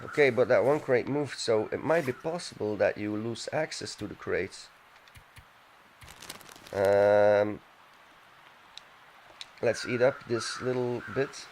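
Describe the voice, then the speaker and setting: A man's voice: fairly low pitch, slow, long pauses, darkish tone, a little hiss or hum, rough recording. A man casually commenting on his game as he plays, thinking aloud and reading text from the screen. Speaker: let's play gamer.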